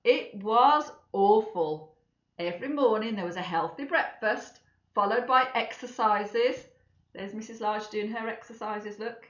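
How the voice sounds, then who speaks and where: A woman's voice: mid-range voice, unhurried. A woman reading a children's story aloud expressively, with chatty asides to young listeners. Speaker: storybook reader for schoolchildren. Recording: echoey room, slightly dull sound.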